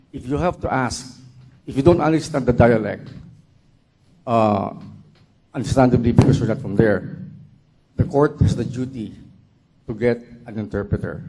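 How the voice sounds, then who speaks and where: A male law professor from the Philippines answering audience questions at a talk, explaining deliberately and often repeating words as he goes. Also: mid-range voice, warm tone, husky, rough recording. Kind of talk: Q&A at a lecture.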